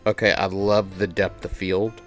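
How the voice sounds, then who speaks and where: A woman's voice: very deep voice, little pitch movement, normal speed. A woman livestreaming a visual novel, reading it aloud and adding amused, exasperated asides. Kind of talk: livestream playthrough, reading and reacting casually. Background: game music.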